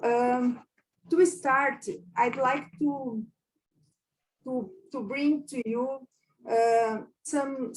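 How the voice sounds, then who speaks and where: A woman from Brazil with a Brazilian Portuguese accent, whose first language is Portuguese, giving a conference lecture in English, slow and careful with many hesitations and repeated words. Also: fairly high pitch, darkish tone, rough recording. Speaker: university lecturer.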